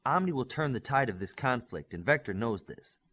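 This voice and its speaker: A man's voice: medium pitch, lively intonation, a little fast, a bit bright, slightly rough. A man voicing a young, earnest superhero squad leader in an audiobook, giving orders in an emphatic briefing style. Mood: commanding, urgent. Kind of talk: audiobook character dialogue.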